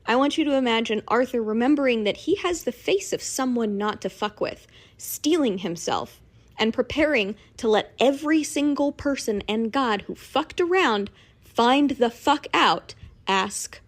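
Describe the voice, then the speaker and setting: A woman delivering a dramatic, persuasive voice submission, theatrical and emphatic, with deliberate pauses. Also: on the high side, animated. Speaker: listener sending a voice submission.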